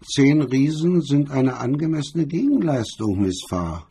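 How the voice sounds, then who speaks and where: A man playing a cool, hard-edged blackmailer in a crime radio drama, speaking with cold, taunting calm in a husky, rough voice. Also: medium pitch, a little slow, a little hiss or hum, voice pushed up.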